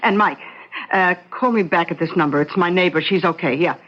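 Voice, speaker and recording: An actress playing a breezy, pushy gambling neighbor with a brash, casual, coaxing way of talking, in an old-time radio drama. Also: female voice, fairly low pitch, brisk, natural voice. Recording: muffled, noisy old radio recording.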